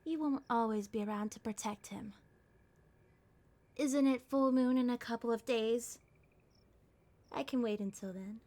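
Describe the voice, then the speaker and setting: A woman's voice: a little high, unhurried, long pauses, warm tone, natural voice. A woman voicing a haughty, menacing vampire schoolgirl in an ASMR roleplay, sneering and theatrical. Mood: taunting, menacing.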